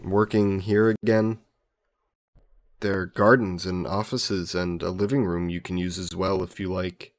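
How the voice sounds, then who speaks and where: A man acts out an ancient vampire nobleman with a low voice. He is courtly and protective, with a gently teasing streak, and phrases everything formally, as in a romantic audio drama. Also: flat delivery. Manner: formal, genteel, measured phrasing. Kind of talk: scripted romantic audio roleplay.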